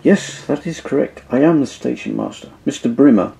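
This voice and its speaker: A polite, formal station master with a mellow, gravelly voice, done by a man. He speaks courteously and a little apologetically, like a figure in a storybook. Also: medium pitch, expressive, moderate pace.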